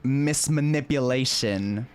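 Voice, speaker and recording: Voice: medium pitch, takes it slowly, smooth. A game streamer comments casually and playfully, reacting to what unfolds. Music from the game sits faintly behind the voice.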